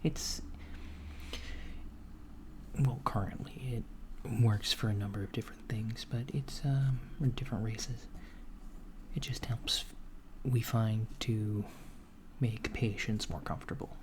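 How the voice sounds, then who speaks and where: A man playing a calm alien doctor disguised as a human, speaking softly and hesitantly in a gentle, clinical ASMR roleplay. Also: fairly low pitch, takes it slowly. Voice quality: soft, breathy, near whisper. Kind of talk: soft-spoken ASMR roleplay. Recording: lo-fi with clear background noise.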